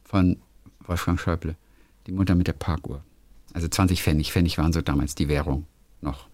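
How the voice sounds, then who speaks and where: A man's voice: low-pitched, fairly even intonation, brisk, a little mellow, no echo, studio quality. A male radio host in a casual phone chat with a friend, telling little anecdotes in a playful, chatty way. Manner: chatty storyteller, playful, hums melodies.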